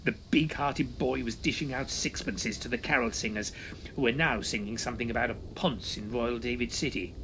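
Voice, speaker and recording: A man's voice: mid-range voice, normal speed, a bit bright, muffled recording, slight background noise. A man reading a comic horror story in a pompous, theatrical, mock-serious storytelling manner. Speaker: story reader and performer. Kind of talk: dramatic scripted narration of comic horror.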